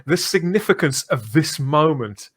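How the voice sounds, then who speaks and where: A man's voice: a little high, lively intonation, unhurried, slightly rough. Man chatting as podcast host. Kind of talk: casual fan podcast discussion.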